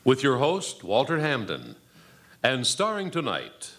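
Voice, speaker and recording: An actor playing a stately, ceremonious 1940s radio announcer who formally opens a drama show. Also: male voice, medium pitch, wildly swooping pitch, exaggerated voice. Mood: grand, welcoming. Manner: stately, ceremonious, drawn-out delivery. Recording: live stage recording under loud music.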